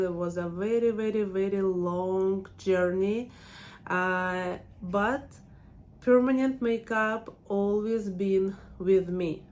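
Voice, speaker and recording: A woman's voice: medium pitch, a little hiss or hum. A woman in her thirties from Siberia with a strong Russian accent, chatting casually in a personal vlog and laughing often. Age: 30s. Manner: chatty and warm, laughs often, many fillers. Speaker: permanent makeup trainer and channel host.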